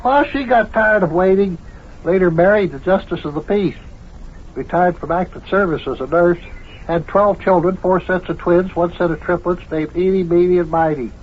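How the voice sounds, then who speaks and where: An actor playing a folksy, rambling tall-tale spinner and joker in an old-time radio comedy. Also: male voice, high voice, moderate pace, a little hiss or hum, lightly acted. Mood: rambling, amused. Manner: drawling, rambling, folksy ungrammatical speech. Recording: dull, lo-fi old radio recording.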